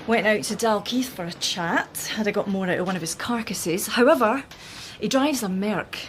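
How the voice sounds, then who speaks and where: An actress playing a keen, inquisitive junior police detective in a radio drama. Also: female voice, medium pitch, normal speed, natural voice. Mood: matter-of-fact. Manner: inquisitive, matter-of-fact. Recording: faint background noise.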